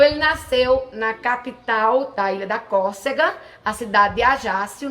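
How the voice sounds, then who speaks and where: A woman's voice: a little high, fairly bright tone. A woman hosting a history channel, explaining in a chatty, informal way with many fillers and restarts. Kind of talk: casual educational talk to camera.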